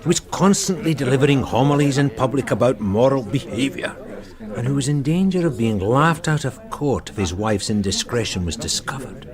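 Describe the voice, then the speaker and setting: Radio drama casting: male actor as British police sergeant, medium pitch, lively intonation, steady, tactful, frank. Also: crowd noise, close to an everyday voice. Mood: matter-of-fact. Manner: measured, explanatory, deferential yet frank. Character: steady, tactful male detective sergeant advising his superior.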